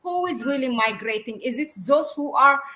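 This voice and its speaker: A woman hosting a discussion interview, putting questions in a halting, roundabout way. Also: sits high, animated, sharp tone. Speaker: interview host.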